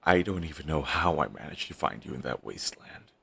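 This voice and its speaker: A bitter young villain, fiercely devoted and raw-edged, swearing as he talks, voiced by a man. His voice sits extremely low, with a husky grain and a forceful, tense edge. It is an intimate audio-roleplay monologue. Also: lively intonation, brisk, heavily acted. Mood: bitter, reflective. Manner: intense, raw, swears often.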